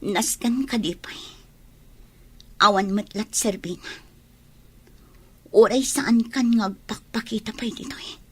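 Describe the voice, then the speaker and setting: A woman playing a wounded, guarded young mother in a radio drama, her breathy voice often near a whisper. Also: a little high, slow pace, pauses often, a bit bright, exaggerated voice. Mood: hurt. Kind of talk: Ilocano radio drama acting.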